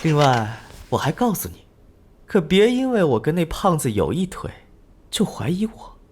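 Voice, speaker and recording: An actress playing a brazen, flirtatious, sharp-tongued female assistant in an animated crime drama, with a sassy, gossipy drawl. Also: low voice, normal speed, slight background noise. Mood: gossipy, catty.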